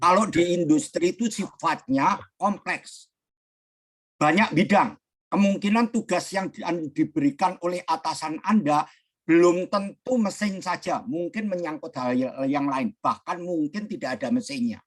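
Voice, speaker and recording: A middle-aged male lecturer with a raspy, hoarse voice, giving an online lecture over a computer mic and explaining slowly and deliberately. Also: fairly high pitch. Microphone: computer mic on a video call. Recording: noisy call line.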